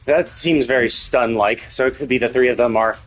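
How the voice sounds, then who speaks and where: A man with a husky voice giving casual, rambling gaming commentary as a live streamer, swearing freely. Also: mid-range voice, steady tone, a little fast, soft background music.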